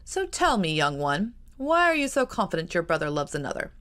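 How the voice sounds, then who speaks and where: Woman playing a mocking, teasing gentleman. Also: lowish voice, very animated, fairly quick. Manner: teasing, showy.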